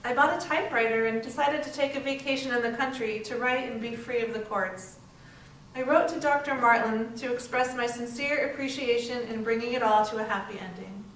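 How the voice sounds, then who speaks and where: A woman's voice: on the high side, fairly quick, a bit bright, close to an everyday voice. A woman playing an ailing young working woman, stoic and hopeful, in staged readers theater. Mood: grateful. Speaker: community theatre performer. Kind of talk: readers theater dramatic narration. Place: library readers theater performance, indoors. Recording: echoey hall, distant, faint hiss.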